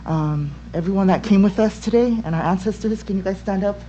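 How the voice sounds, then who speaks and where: A woman from Tonga speaking as a parent in public comment at a school board meeting, earnest and halting, with many restarts. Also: medium pitch, warm tone, lo-fi sound. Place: home room on a video call. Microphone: laptop or webcam mic on video call.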